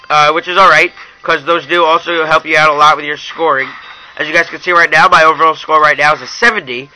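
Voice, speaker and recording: Young guy doing a casual app walkthrough, really bright sharp voice, kinda high for a guy. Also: rough recording. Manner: informal, addresses viewers as you guys. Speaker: app reviewer. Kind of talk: casual hands-on app review narration.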